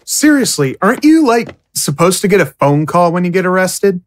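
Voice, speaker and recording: A woman's voice: lowish voice, very animated, moderate pace, a little hiss or hum. A woman playing an impatient, outspoken young prisoner in an audio drama, with a casual, indignant way of talking.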